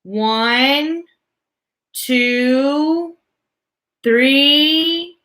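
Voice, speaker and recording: Here is a booming, commanding loudspeaker voice from mission control, as a woman reading a storybook puts it on. It is pitched well above her own reading voice, loud and barking, and it hammers out threats and orders with menace. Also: very slow, exaggerated voice, poor audio. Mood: menacing.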